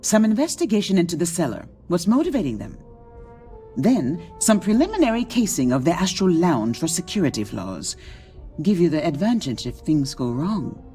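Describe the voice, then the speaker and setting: A theatrical, cunning corporate wife in a video game. Her voice is lowish and soft-edged, with hugely animated melody. She teases and charms, arch and gushing. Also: moderate pace, faint music behind. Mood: brisk, conspiratorial.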